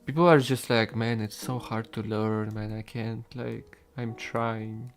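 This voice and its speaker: Young russian-native man, unhurried drawl, rambly and swears a lot, quiet music under him. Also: fairly low pitch, fairly even intonation. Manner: laid-back, rambling, swears casually. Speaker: solo game developer streaming. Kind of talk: casual chat with stream viewers while coding.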